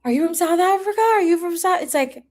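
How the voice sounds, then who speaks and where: A woman's voice: extremely high pitch, expressive, quick pace, a little mellow. A woman chatting casually and informally with viewers as she hosts a live tarot reading. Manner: chatty, informal, often breaking into giggles. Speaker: tarot reader hosting a livestream. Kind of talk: casual livestream chat with viewers.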